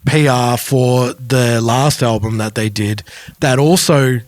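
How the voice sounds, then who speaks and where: A man's voice: medium pitch, slow, no echo. A man co-hosting a podcast, telling anecdotes in a casual, informal way. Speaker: podcast co-host. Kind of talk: casual music podcast chat.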